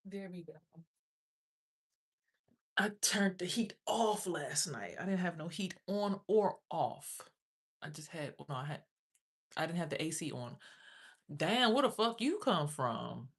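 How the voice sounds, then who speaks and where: A woman's voice: fairly low pitch, expressive, a little slow, pauses often. A young woman, chatting loosely on a livestream, rambling about spiritual topics and swearing freely. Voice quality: raspy. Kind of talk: casual livestream chat.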